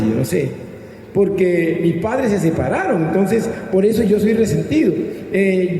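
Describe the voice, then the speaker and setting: A man's voice: high voice, warm tone. Colombian male preacher in an echoey hall. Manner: didactic, prompting listeners to repeat and answer. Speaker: pastor preaching. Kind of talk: sermon with rhetorical questions and call-and-response. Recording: echoey hall with long reverb, background noise.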